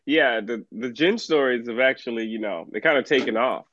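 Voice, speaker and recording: A middle-aged man from Trinidad, a writer, talking about his work in a relaxed way and laughing often. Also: mid-range voice, normal speed, no room sound, highs cut off. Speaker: author guest. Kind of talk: casual podcast conversation.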